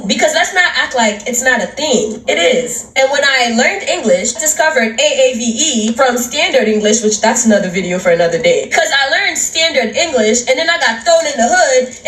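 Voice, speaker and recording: Woman from Haiti, high expressive voice, casual slangy storytelling in a roomy, echoey space. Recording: roomy echo.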